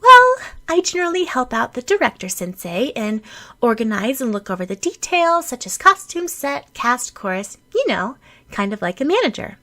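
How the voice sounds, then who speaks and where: A woman voicing an excitable, eager schoolgirl in an audiobook of anime fan fiction, gushing and bubbly. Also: a little high, moderate pace, pitched above the performer's own voice. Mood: explaining eagerly.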